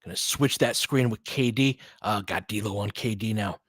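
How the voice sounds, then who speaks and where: A man's voice: fairly low pitch, normal speed, a bit bright, breathy edge, dry recording, studio quality. A man hosting a casual live basketball play-by-play, mixing chatty asides with short, clipped calls of the action. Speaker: host doing fan play-by-play of a basketball game. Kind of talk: live sports commentary mixed with casual chat.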